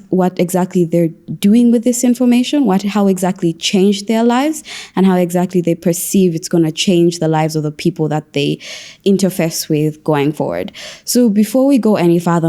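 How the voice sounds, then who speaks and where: Young lady hosting a podcast panel, low relaxed voice, chatty and wordy. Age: young adult. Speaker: podcast host. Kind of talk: conversational panel moderation.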